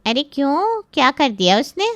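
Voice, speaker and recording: A woman voicing a nosy, excitable middle-aged aunt who exclaims and relishes gossip in a story. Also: high voice, moderate pace, dry recording, pitched above the performer's own voice, heavily acted. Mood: shocked, curious.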